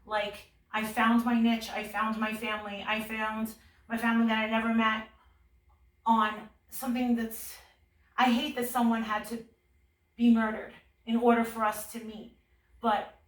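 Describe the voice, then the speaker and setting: Higher-pitched woman in an echoey space. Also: flat delivery, normal speed, far from the mic. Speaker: online video creator, interviewee. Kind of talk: casual, heartfelt interview answers. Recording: echoey, a little off mic.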